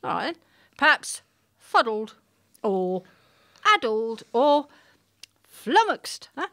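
A woman's voice: a little high, big pitch swings, takes it slowly, a bit bright, heavily acted. A woman acting a cheeky, argumentative city woman roused from sleep in a comic audio play, colloquial, pedantic and needling. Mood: teasing.

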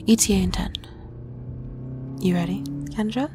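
A woman's voice: mid-range voice, moderate pace, background noise, natural voice. A woman playing a loyal female agent who looks out for her best friend in an audio drama. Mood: businesslike. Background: music.

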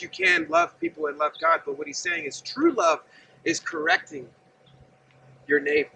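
A man's voice: very high-pitched, vocal fry, pushed and bright, slight background noise. A man in his thirties preaching earnestly and conversationally outdoors, standing and gesturing while he talks to a camera set some distance away. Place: outdoors by a gravel lot and fence. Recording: distant outdoor mic with faint ambience.

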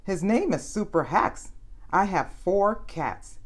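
An excitable, peculiar little girl from a storybook, voiced by a woman reader. She speaks higher and stretches her words out with dramatic, swooping emphasis. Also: takes it slowly. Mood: insistent. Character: strange, excitable cat-loving little girl. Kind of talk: storybook character voice.

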